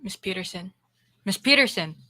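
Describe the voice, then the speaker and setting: Girl playing grumpy blustery office boss man, forceful bright voice, insulting, old radio comedy. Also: medium pitch, lively intonation, moderate pace. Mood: irritated, scornful. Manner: blustery, cutting. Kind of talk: 1940s radio comedy acting.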